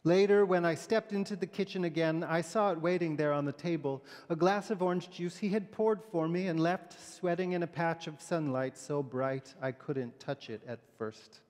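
A middle-aged man speaks from a pulpit in a notably high voice for a man. He preaches and reads in a gentle, conversational, slightly halting way, with the long reverb of a large church around him. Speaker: pastor. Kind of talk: sermon with poem and scripture readings, conversational.

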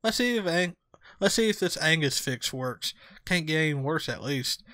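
A man's voice: on the high side, animated, normal speed, bright, forceful tone, dry recording. A man giving casual, joking commentary while playing a video game. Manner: joking, chatty. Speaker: let's play host.

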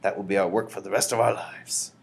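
A man's voice: low-pitched, steady tone, fairly quick, vocal fry, husky, heavily acted. A man voicing a feisty, bold old grandmother in a storybook, emphatic and commanding. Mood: grandly determined.

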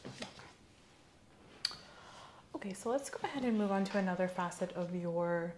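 A young woman playing a calm, methodical medical examiner in a soft-spoken ASMR roleplay, quietly reassuring and procedural. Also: mid-range voice, normal speed, slight background noise, lightly acted. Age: young adult. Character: calm, methodical female eye-exam clinician. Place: small indoor room set up as an exam room.

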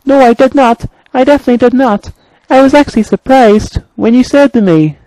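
A man's voice: very high voice, a little fast, dark tone, no echo, voice pushed up, rough recording. A man voicing a timid, apologetic little male mine engine in a children's story, nervous and pleading. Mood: panicked, pleading.

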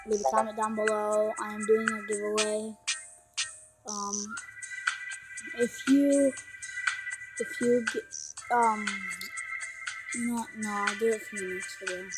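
A woman's voice: a little high, low-quality recording. Chatty young girl rambling over game music. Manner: chatty, apologetic, rambling with many fillers. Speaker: gaming YouTuber. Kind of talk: casual screen-recorded game tutorial. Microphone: phone microphone.

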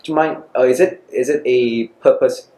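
A man's voice: medium pitch, moderate pace, dark tone. A young man hosting a podcast interview, attentive and brief in his replies. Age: young adult. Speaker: podcast host. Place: small studio room. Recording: echoey room.

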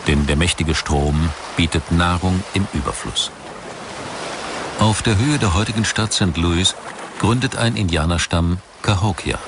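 Deep guy narrating a documentary, measured scripted read, noisy ambient stuff going on behind the voice.

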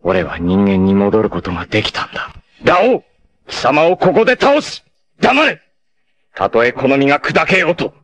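An actor playing a stern, loyal young martial artist in a 1980s anime, with a smooth, clipped voice that bursts into ferocious battle cries. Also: male voice, mid-range voice, sing-song, normal speed, fairly bright tone. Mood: furious. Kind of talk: 1980s anime dub-free Japanese voice acting.

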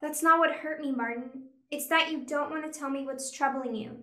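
A woman's voice: sits high, normal speed, bright, forceful tone. An actress playing a hurt, pleading woman in a radio horror play, earnest and melodramatic. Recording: echoey room.

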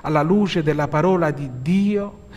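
A middle-aged man from Italy preaching a sermon from a pulpit as pastor, slow and measured with pauses and hesitant fillers. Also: on the high side, darkish tone. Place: church hall, at a pulpit. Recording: long hall reverb.

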